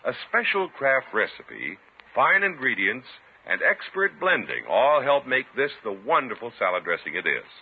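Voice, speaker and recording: Animated 1950s radio announcer man, tinny sound. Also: mid-range voice, normal speed, husky. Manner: polished, emphatic salesmanship. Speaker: radio announcer and sponsor spokesman.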